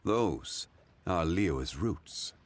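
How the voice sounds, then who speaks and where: A man playing a refined, leisurely old-souled gentleman in a video game, speaking in a formal, measured, contemplative way. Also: low-pitched, lively intonation, heavily acted. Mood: composed. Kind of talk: English video game voice acting. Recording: music behind the voice.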